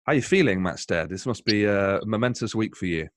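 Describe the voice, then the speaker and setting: A man's voice: on the low side, lively intonation, brisk, background noise. A man hosting a casual football podcast, asking long, jokey questions. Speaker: podcast host.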